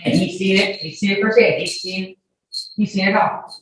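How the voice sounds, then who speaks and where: A woman's voice: mid-range voice, fairly even intonation, moderate pace. Echoey, distant woman giving emphatic testimony. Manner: emphatic, argumentative, repeats herself, interrupts. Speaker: witness testifying in a court hearing. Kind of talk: courtroom testimony under questioning. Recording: very echoey room, distant mic, faint noise.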